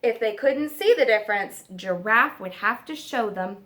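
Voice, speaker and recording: A woman teacher reading a story aloud to young children, expressive and pausing to explain and ask them questions. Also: medium pitch, a little hiss or hum. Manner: expressive, asks listeners questions. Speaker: kindergarten teacher.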